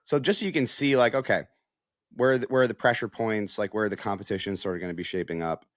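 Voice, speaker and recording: Low-voiced man talking football casually. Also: fast, no echo, studio quality. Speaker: football analyst and reporter. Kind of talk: casual sports podcast discussion.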